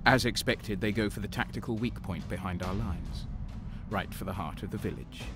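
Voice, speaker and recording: A man's voice: on the low side, normal speed, over music, lightly acted. A man playing a hard-bitten, ruthless renegade soldier, narrating his war story intensely in the first person. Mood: grim. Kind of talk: first-person dramatic narration, audio drama.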